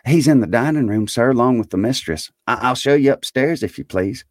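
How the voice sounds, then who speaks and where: A man's voice: mid-range voice, animated, a little fast, no echo, clean studio sound, voice pushed up, close to an everyday voice. A man voicing a meek, deferential young servant girl in a storybook, courteous and a little hesitant. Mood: polite, eager.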